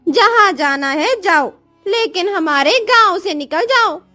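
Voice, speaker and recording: Woman putting on a very high, scornful villager. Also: animated, a little slow, sharp tone, dry recording, faint music behind, heavily acted. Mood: dismissive. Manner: mocking, scolding. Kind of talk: cartoon moral story voice acting.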